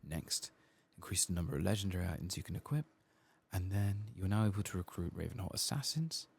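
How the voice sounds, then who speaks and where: A man giving soft-spoken, partly whispered ASMR commentary as he plays a game, murmuring his thoughts aloud. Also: low voice, animated, fast talker, gravelly, husky. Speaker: gaming channel host.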